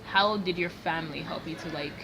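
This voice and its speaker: A woman's voice: fairly low pitch, fairly quick. A young woman interviewing a peer in a casual, chatty way, filling her questions with "like". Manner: chatty, lots of "like". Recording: noisy background.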